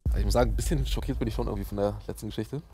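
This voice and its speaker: Young male panelist, slightly low voice, brisk, polite and probing interview style. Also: steady tone, warm tone, a little hiss or hum. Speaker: panelist questioning a contestant. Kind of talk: unscripted interview questioning. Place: indoor studio.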